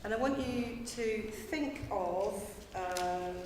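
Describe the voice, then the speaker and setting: A woman leading a school workshop, clear and directive, asking many questions. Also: medium pitch, slow pace. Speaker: workshop leader. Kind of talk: instructing a class. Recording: echoey hall, distant mic, background noise.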